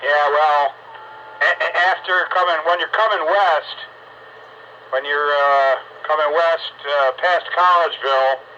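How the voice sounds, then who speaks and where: A man with a fairly high, forceful voice hosts a casual radio chat. He talks in a folksy, grumbling way, over a narrow radio channel with some background noise. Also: fairly even intonation, unhurried. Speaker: host of a radio roundup net. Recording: noisy, band-limited two-way radio audio.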